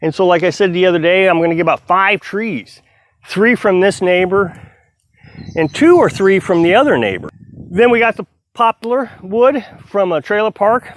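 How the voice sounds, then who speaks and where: A man's voice: sits high, expressive, moderate pace, no echo. A middle-aged man vlogging outdoors on his homestead, talking casually and earnestly while he splits firewood. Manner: rambling, earnest, self-reflective.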